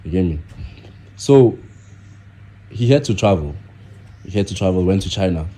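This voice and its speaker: A young man from South Africa, a guest on a podcast, talking casually with halting starts and repeated words. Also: deep voice, expressive, warm tone, breathy edge. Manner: halting, repeats himself, many fillers. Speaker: guest, content creator.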